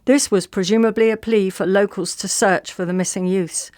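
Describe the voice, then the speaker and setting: A woman playing an earnest radio newsreader, delivering a mock bulletin in a formal, measured, straight-faced manner. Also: medium pitch, steady tone, darkish tone, no room sound, studio quality, close to an everyday voice. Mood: formal, serious.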